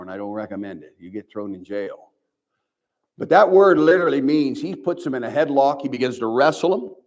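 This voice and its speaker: An older man from the American Midwest with a nasal voice, preaching a sermon as pastor in a folksy, animated way with many restarts. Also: a little high. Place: church.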